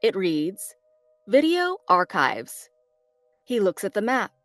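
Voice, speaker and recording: A woman's voice: mid-range voice, big pitch swings, slow, a bit bright, no room sound. A woman narrator reading screenplay stage directions as dramatic scripted narration. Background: faint background music.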